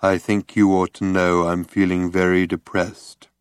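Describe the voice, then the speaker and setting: A miserable male robot drags out every word in a low, hopeless drone, as a man reads him aloud. He sounds slow and pathetic, like a great cracked bell. The voice sits dry and close, with no room around it. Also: flat delivery, smooth, no echo. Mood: gloomy. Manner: slow, pathetic, self-pitying. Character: gloomy depressed male robot, slow and droning. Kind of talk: audiobook character voice.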